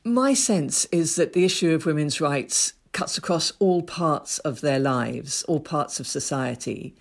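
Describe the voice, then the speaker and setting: An earnest woman from Ethiopia answers interview questions in a measured way. She builds her points in steady lists and keeps a thoughtful, even tone. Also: low-pitched, creaky. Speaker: guest, women's rights activist.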